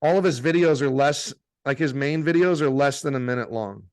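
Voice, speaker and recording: A man's voice: mid-range voice, brisk. A young adult man, a meditation teacher and guest, chatting casually with lots of "like" and swearing. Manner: casual, heavy use of "like" and swearing. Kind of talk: casual interview chat.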